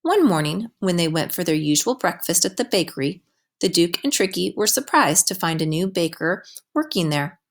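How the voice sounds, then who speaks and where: Lady reading a kids picture book out loud, lowish voice, really swoopy and expressive. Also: normal speed. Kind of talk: children's storybook read-aloud.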